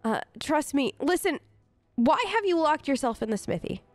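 A woman's voice: high-pitched, sing-song, moderate pace, faint music behind. A woman role-playing her game character in casual, improvised dialogue, chatty, upbeat and informal.

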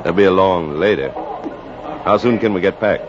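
An actor playing a worried, protective baseball coach, grave and terse, in a 1940s radio drama. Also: male voice, low-pitched, moderate pace, a crowd in the background, close to an everyday voice. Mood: worried, urgent. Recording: dull 1940s radio recording.

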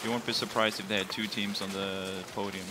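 Flat, low-voiced male co-commentator. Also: brisk. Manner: brief expert remarks, hesitant. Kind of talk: live sports commentary. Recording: noisy live broadcast feed.

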